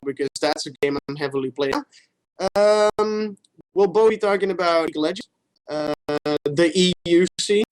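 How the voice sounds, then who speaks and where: A man's voice: on the high side, rough recording. A man co-hosting a casual gaming show, rambling loosely with crude, teasing swearing. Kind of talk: casual chat.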